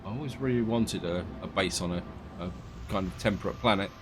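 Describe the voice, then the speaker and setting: A man casually commenting on his gameplay as he plays, thinking aloud and correcting himself, his voice slightly croaky from allergies. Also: deep voice, fairly quick, noisy background. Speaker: gaming video host.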